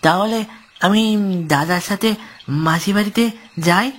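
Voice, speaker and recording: A woman's voice: mid-range voice, unhurried, natural voice. A woman voicing a greedy, wheedling young boy in a horror cartoon, with faint night noise behind. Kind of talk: Bengali horror cartoon dub.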